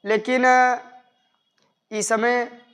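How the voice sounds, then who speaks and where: A man's voice: very high voice, little pitch movement, drawn-out words, clean tone. A man teaching a grammar lesson, explaining step by step and repeating his points methodically. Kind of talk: explanatory classroom-style lesson.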